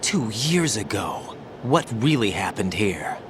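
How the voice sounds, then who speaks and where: A man's voice: fairly low pitch, big pitch swings, slow, noisy background. A man playing an earnest, uneasy young special operative in a dramatic video game, always questioning. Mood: suspicious, uneasy.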